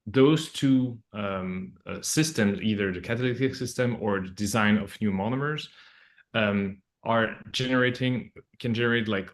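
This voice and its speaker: Low-voiced man. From Belgium. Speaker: project scientist, interview guest. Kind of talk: explanatory interview answers.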